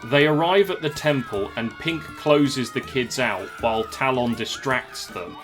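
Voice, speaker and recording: Man, medium-pitched voice, scripted story narration, audio drama storyteller, faint music underneath. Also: measured pace.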